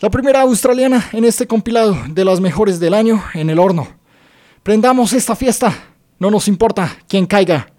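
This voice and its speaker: A man hosting a music radio show, introducing songs in a relaxed, casual way with frequent "eh" pauses. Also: high voice. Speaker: radio DJ and host.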